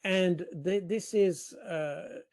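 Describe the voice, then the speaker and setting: Male analyst, slightly high voice, slow halting delivery, explaining politics in an interview. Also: crowd noise.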